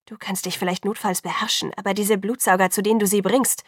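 A woman's voice: medium pitch, steady tone, a little slow, breathy edge, no room sound, studio quality. A woman voicing a hot-headed, wounded teenage boy in an audiobook, intense and imploring. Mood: angry, accusing.

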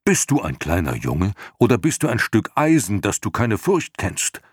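A man's voice: fairly low pitch, wildly swooping pitch, moderate pace, breathy edge, no room sound, studio quality, exaggerated voice. A man reading an audiobook, voicing a big, timid male dragon who worries and warns. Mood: worried. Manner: worried, cautionary. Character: large male luck dragon, timid and excitable. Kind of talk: audiobook character voice.

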